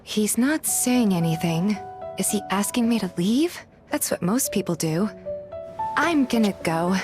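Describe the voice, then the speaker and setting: A woman playing a curious, polite young game heroine, narrating in the first person and speaking her dialogue in an earnest, gentle manner. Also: mid-range voice, animated, unhurried, over music, natural voice. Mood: earnest, reflective.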